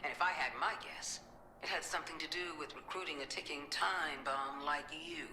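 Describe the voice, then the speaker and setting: An actress playing a stern, contemptuous middle-aged government commander in a video game, curt and cold. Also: female voice, low-pitched, moderate pace, sharp tone, echoey room, slight background noise, poor audio. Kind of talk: video game drama acting.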